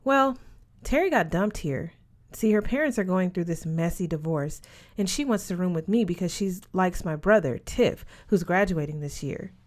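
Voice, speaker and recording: A woman's voice: on the low side, expressive, normal speed, close to an everyday voice. A woman voicing a frank, worldly teenage boarding-school girl in an audiobook, breezy and emphatic. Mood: knowing, gossipy.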